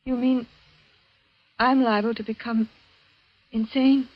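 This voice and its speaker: An actress playing a moody, high-strung, fearful young woman in 1940s radio drama, halting and repeating herself insistently. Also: steady tone, dry recording. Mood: rising panic. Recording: dull vintage radio recording.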